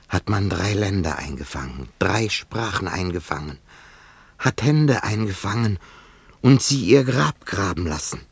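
An actor playing a solemn, haunted scissors grinder who survived a massacre, in a poetic radio play with a halting, incantatory delivery. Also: male voice, lowish voice, gravelly, breathy edge, no room sound, exaggerated voice.

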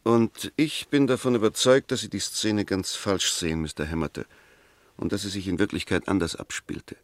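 A man's voice: on the low side, normal speed, no echo, close to an everyday voice. A man acting an insistent, courteous but sceptical defence lawyer in a 1960s German radio drama, precise and argumentative. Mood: insistent, reasoning. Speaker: actor in a radio play.

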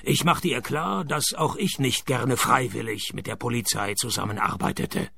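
An actor playing a dry, cynical private detective who narrates in a deadpan, gravelly hardboiled radio-play style. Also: male voice, mid-range voice, moderate pace, no room sound, exaggerated voice. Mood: deadpan, wry. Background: music.

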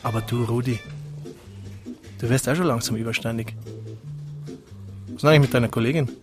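A hearty Bavarian countryman played by a male actor in a naturalistic radio play. His voice sits in the middle range and he talks in chummy, joking dialect. Music is clearly audible behind him. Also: mid-range voice, a little fast, natural voice. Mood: chummy, teasing. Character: hearty, teasing male Bavarian farmer and bridegroom. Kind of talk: naturalistic radio drama dialogue.

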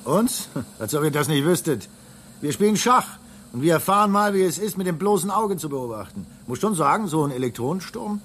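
An excitable, wry engineer on a space station, in a radio play. His voice is fairly high and swings widely in pitch as he talks colloquially and emphatically. There is faint noise behind him. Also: fairly quick. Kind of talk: German radio drama acting.

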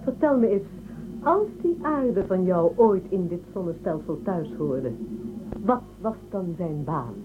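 A woman's voice: on the low side, animated. Condescending space empire woman official, really varied intonation, thin phone-like radio play sound. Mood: probing, skeptical. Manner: condescending, measured interrogator. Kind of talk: Dutch radio drama acting. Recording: thin band-limited, noisy old radio recording.

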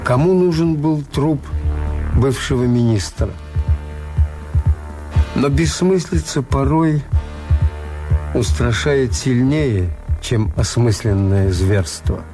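Актёр играет усталого ироничного хозяина гостиницы, который сдержанно и сухо ведёт рассказ в советской радиопостановке. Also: male voice, mid-range voice, slow pace, pauses often, music in the background, lightly acted. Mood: measured, wry. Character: weary, ironic male hotel owner recounting events. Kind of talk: Soviet radio drama narration and dialogue.

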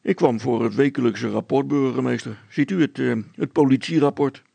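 A man's voice: mid-range voice, normal speed, creaky, mellow, slightly rough, no echo. A man voicing a plodding, sceptical village policeman in a story, plain-spoken, mocking and grumbling. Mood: deferential.

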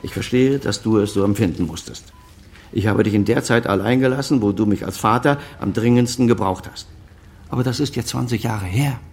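A man's voice: lowish voice, a little fast, vocal fry, darkish tone. An actor playing a proud senior doctor and estranged father, over fifty, speaking formal, articulate in a radio drama. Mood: defensive, earnest. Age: middle-aged or older, over fifty. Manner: formal, articulate, self-justifying. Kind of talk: German radio drama dialogue.

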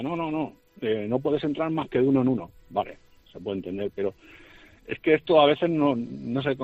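A man's voice: mid-range voice, no echo. A nervous man with an accent from Spain calling a radio show as a truck driver, emphatic and plaintive, repeating himself. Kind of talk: phone-in testimony. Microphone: phone call. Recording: dull radio phone line, faint music.

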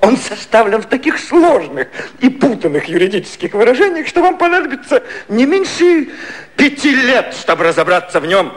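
An actor playing a wily elderly British prime minister in a 1950s satirical radio play, ironic, declamatory and coaxing. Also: male voice, extremely high pitch, big pitch swings, normal speed. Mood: triumphant, mocking.

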